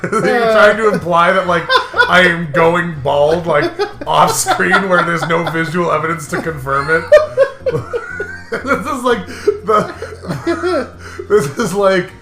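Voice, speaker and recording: A man's voice: sits high, lively intonation, slow pace. A man in casual, joking commentary banter who acts out bits of mock dialogue. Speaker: gaming commentary co-host. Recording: roomy echo, faint music. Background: faint music.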